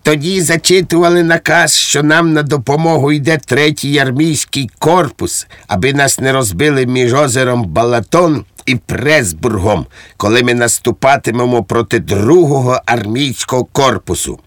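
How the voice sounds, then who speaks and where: Man putting on a higher-pitched, earnest simple soldier. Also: normal speed, hardly any pauses, a bit bright, no room sound, studio quality, close to an everyday voice. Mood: earnest, rambling. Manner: earnest, untroubled, long-winded. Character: unflappable, naively obedient, rambling male common soldier. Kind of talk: audiobook character acting.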